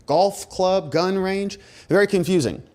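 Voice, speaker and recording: A man's voice: high voice, measured pace, a little mellow. An American man hosting legal commentary, reading documents aloud with emphatic, conversational asides and sarcastic rhetorical questions. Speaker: host, criminal defense lawyer.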